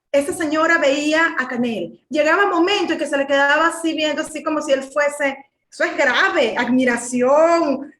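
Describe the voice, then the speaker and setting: A young woman gives heated, indignant commentary, often repeating words as she builds steam. Her voice sits high, and the room around her rings with echo. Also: fairly bright tone. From Venezuela. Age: young adult. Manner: passionate, indignant, repeats words when agitated. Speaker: panel guest.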